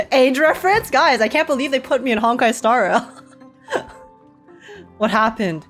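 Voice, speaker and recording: A giggly, excitable woman giving casual reaction commentary as she plays a game. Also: high-pitched, measured pace, soft background music.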